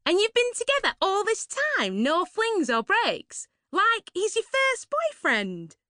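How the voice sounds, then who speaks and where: A woman reading a story, voicing a tactless, nosy young woman who squawks and gushes in drawn-out exclamations. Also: very high voice, wildly swooping pitch, pushed and bright, no echo, clean studio sound, voice pushed up, heavily acted. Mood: gushing.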